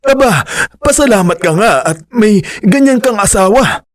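A man's voice: high-pitched, lively intonation, normal speed, no room sound. A man playing an older father who scolds his grown son in a radio drama. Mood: scolding. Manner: stern fatherly advice. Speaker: voice actor.

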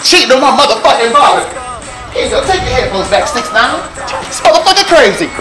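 A man's voice: very high-pitched, big pitch swings, quick pace, echoey room, over music, a crowd in the background. Boisterous cursing man in a barbershop. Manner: loud, boisterous, cursing, laughing. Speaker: barbershop customer in a sketch. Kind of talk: sketch comedy acting. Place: barbershop, indoors.

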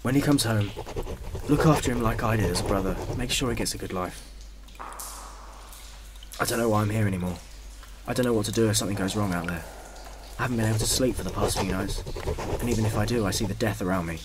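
A man's voice: lowish voice, fast talker, lightly acted. A man playing a protective young First World War soldier, afraid but brave, in a radio drama. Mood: tender, subdued. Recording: noisy, with gunfire and artillery behind.

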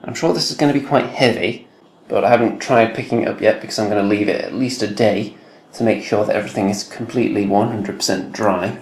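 Man, lowish voice, dry understated host narrating a home build, echoey room. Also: fast. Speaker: hobby video creator. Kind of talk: casual narrated build log.

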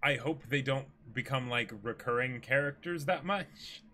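A young man in his twenties with a bright, crisp-edged voice at a medium pitch. He talks casually as a livestream co-host, offering conversational commentary. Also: normal speed, slight background noise. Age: 20s. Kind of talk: casual chat, commentary on a manga. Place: small indoor room with a backdrop.

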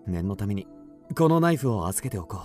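An actor playing a gentle, educated, protective young soldier, calm and explanatory, in a radio drama. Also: male voice, lowish voice, a little fast, faint music behind. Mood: reassuring, serious. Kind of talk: Japanese radio drama acting.

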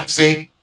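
A man's voice: on the high side, creaky, roomy sound, distant mic, heavy background noise, full character voice. A man voicing a boastful, childish robotic villain overlord in a video game, with grandiose, petulant delivery. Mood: taunting, boastful.

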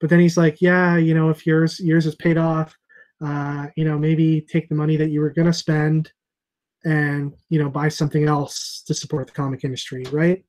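A man's voice: a little high, little pitch movement, moderate pace, darkish tone. A man in his thirties who owns a comic shop, hosting a casual chat over a video call from a room at home with an earphone mic. He rambles a little, with lots of fillers and restarts. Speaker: comic shop owner and host. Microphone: earphone headset on a video call.

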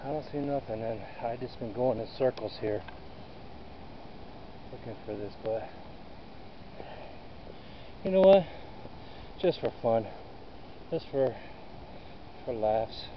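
A man's voice: medium pitch, slow pace, long pauses. A man in his thirties vlogging casually while hiking outdoors in the woods, muttering his thoughts to himself as he films on a handheld phone. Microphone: phone camera held at arm's length. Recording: muffled phone audio with outdoor noise.

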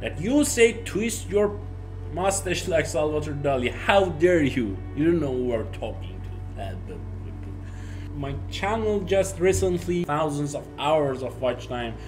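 A young man from Iran, a native Persian speaker, chatting casually to camera in halting, Persian-accented English as a YouTube host. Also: fairly high pitch, lively intonation, soft background music. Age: young adult. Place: small home office, indoors.

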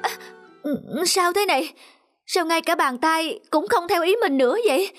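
A woman's voice: very high voice, normal speed, a bit bright, faint music behind. A woman voicing a greedy, whiny poor young man in a fairy-tale cartoon, exclaiming and pleading. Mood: bewildered. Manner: exclamatory, pleading, stammering in alarm. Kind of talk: animated fairy-tale dubbing.

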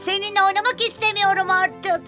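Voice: very high voice, level tone, a little fast, pushed and bright, soft background music. An actor voicing a friendly, earnest child-like little bus in a dubbed children's cartoon.

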